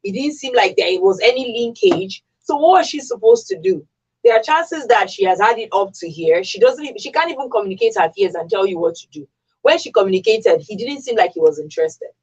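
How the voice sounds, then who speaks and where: A woman's voice: on the high side, animated, a little fast, a little mellow, breathy edge, lo-fi sound. A woman with a Nigerian accent speaking as a radio panelist, emphatic and conversational, repeating herself and posing rhetorical questions. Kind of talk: opinionated conversational discussion.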